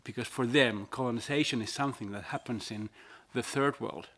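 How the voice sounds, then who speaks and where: A man's voice: mid-range voice, brisk, a bit bright. A man from Finland speaking as a panelist in a plain, explanatory way. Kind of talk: panel discussion.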